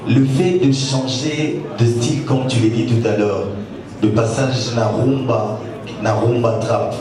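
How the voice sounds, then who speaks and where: A man's voice: medium pitch, steady tone, a little slow. Male reporter, long winding question, distant in a very echoey room, music underneath. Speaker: journalist asking a question. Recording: echoey hall, far from mic, music behind.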